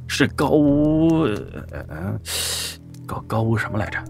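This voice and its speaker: An actor playing a coarse, blunt, hearty soldier who flatters his lord in a wuxia audio drama. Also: medium pitch, slow pace, faint music behind, full character voice. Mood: boisterous. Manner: rough, hearty, unlettered.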